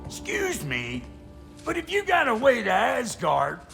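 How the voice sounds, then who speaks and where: An actor playing a gruff, blunt, foul-mouthed dwarf blacksmith with a gravelly, folksy way of talking in a video game. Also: male voice, extremely high pitch, huge pitch swings, measured pace, bright, forceful tone, soft background music, heavily acted. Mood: suspicious, irritated. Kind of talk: video game cutscene acting.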